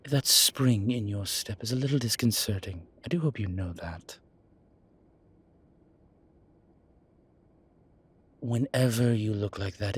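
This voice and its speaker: A man's voice: medium pitch, husky, natural voice. A man playing an exasperated, protective male vampire in an ASMR roleplay drama, scolding and sardonic. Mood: wary, puzzled. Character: exasperated, protective male vampire, dry-witted and world-weary. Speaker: voice actor.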